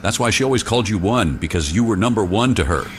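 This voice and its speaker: A man's voice: on the low side, animated, fast talker, slight background noise, natural voice. A man playing a fatherly military boss in a radio serial, folksy, hesitant and reassuring. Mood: consoling. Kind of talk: mock radio serial acting.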